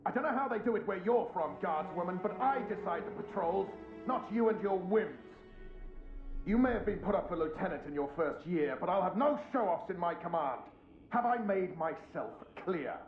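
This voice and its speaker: A man's voice: high voice, animated, normal speed. A man playing a stern, domineering guard captain in a video game, curt and commanding. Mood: stern, threatening. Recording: echoey dull audio with game music.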